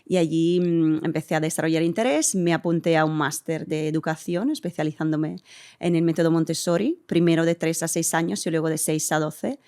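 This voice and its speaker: A woman from Italy, a guest in an interview, answering thoughtfully and pausing with hums as she searches for words. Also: low voice, steady tone, dark tone. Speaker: interview guest, community center director.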